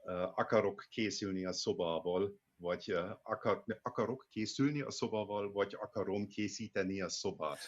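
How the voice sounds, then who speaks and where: German man, husky, speaking slowly. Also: on the low side, highs cut off. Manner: halting, many restarts and repeated words. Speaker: interview guest, Hungarian learner. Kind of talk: casual interview conversation.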